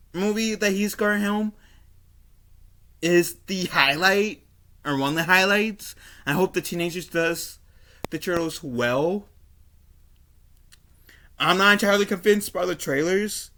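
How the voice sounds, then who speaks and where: A man giving casual opinion commentary as a video host, halting and often repeating and rephrasing himself. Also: high voice, pushed and bright. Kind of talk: casual opinion commentary, reading an article aloud.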